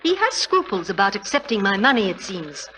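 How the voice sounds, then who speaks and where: A woman's voice: medium pitch, very animated, a little fast, natural voice. An actress playing a frank, wry, middle-aged titled lady engaged to be married, in 1940s radio drama style. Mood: wry, chatty. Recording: noisy, muffled 1940s radio recording.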